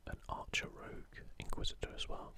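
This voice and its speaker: Whispered voice, ASMR commentary, unvoiced and breathy, halting and musing, unscripted host. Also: bright, forceful tone.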